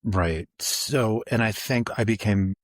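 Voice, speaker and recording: A man in his forties, co-hosting a podcast, talking reflectively and haltingly with many fillers and restarts. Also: fairly low pitch, no room sound, studio quality. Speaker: podcast co-host.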